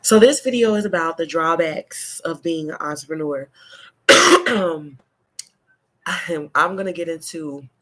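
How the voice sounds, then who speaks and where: Congested, nasal woman chatting slowly. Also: low voice, sing-song, bright, forceful tone. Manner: chatty, rambling, frequent "okay?" check-ins. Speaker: laundry pickup and delivery business owner. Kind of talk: casual story-time vlog.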